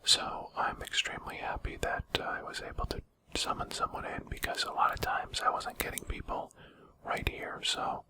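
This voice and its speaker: A man speaking in a breathy whisper, giving quiet, explanatory commentary on a video game as a channel host. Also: extremely high pitch, rough recording.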